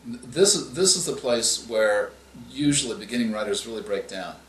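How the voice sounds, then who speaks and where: A male author answering interview questions, explaining in a measured way and often restarting his phrases. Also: mid-range voice, lively intonation, fairly bright tone, a little hiss or hum. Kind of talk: interview answers on writing craft. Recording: echoey room with faint ambience.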